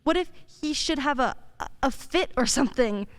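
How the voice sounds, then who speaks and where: A woman playing a brisk, worried department store executive in a radio play, earnest and insistent. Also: high voice, expressive.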